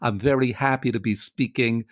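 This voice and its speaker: A man's voice: mid-range voice, fairly even intonation, normal speed, dry recording, studio quality. A man working as a radio interviewer, reading a scripted introduction to a segment. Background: music.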